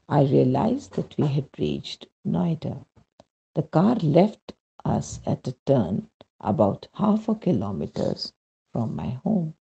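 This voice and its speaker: A man reading a spiritual testimonial as scripted narration, in measured phrases with frequent pauses. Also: a little high, creaky, dark tone, husky, lo-fi sound. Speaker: reader of a devotional testimonial.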